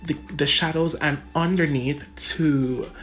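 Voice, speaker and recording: A woman with a Jamaican accent walks through her makeup in a relaxed, chatty voice, calling her viewers "guys". Her voice sits low for a woman. Faint music plays quietly behind her. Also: a little slow. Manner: chatty, addresses viewers as guys, laughs often. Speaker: beauty vlogger. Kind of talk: casual makeup tutorial narration. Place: home room under bright lights.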